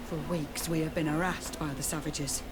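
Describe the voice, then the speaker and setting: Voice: mid-range voice, steady tone, moderate pace, noisy background, lightly acted. An actor playing a stern military captain in a video game, reporting formally and grimly with respect. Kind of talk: scripted video game dialogue.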